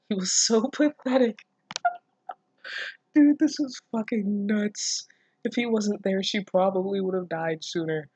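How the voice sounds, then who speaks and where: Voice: mid-range voice, no room sound. A person reading fan fiction aloud casually, breaking off with mocking, incredulous, sweary commentary. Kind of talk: casual reaction reading with sarcastic asides.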